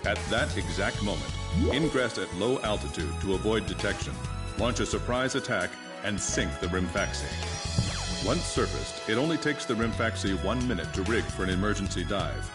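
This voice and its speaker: An actor playing a stern commander, giving a measured mission briefing in a video game. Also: male voice, on the low side, animated, over loud music, natural voice. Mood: grave, firm. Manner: measured, authoritative. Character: stern male military commander briefing pilots. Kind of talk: scripted military briefing.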